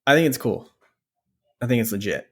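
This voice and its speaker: A man's voice: medium pitch, lively intonation, a little fast. Male podcast co-host, thirties, informal and wry, conversational, repeats himself for emphasis. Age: 30s. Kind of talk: casual chat.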